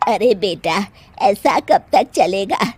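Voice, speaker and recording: A woman's voice: mid-range voice, animated, slow, darkish tone, soft background music. A woman voicing a nagging, wily elderly mother-in-law in a Hindi cartoon story, with theatrical exclamations. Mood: aggrieved.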